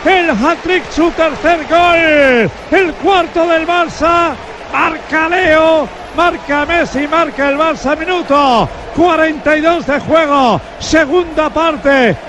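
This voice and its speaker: Man, goal commentator, very high pitched, emphatic calls, noisy broadcast clip. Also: slow.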